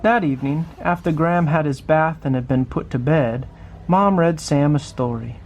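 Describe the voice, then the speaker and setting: A man reads a picture book aloud for children in a fairly high voice with plenty of creak. He is expressive and turns to the listener with little questions, over faint background noise. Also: moderate pace. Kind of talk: storybook read-aloud for children.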